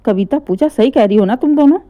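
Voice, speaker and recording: A woman voicing an angry middle-aged mother who scolds and shouts at her son. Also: fairly high pitch, animated, fast talker, close to an everyday voice. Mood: furious.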